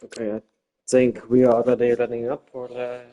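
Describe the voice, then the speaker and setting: A Dutch-speaking man commentating a live-streamed online race league in English, halting and searching for words with many fillers. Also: mid-range voice, monotone, dark tone, rough recording. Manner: calls himself a bit boring-voiced.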